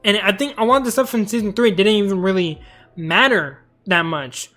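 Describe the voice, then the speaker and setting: Young male streamer, high voice, rambling casual chat, tired and going hoarse, faint music underneath. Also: moderate pace, pushed and bright. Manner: rambling, talkative. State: tired, losing his voice. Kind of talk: casual live-stream commentary.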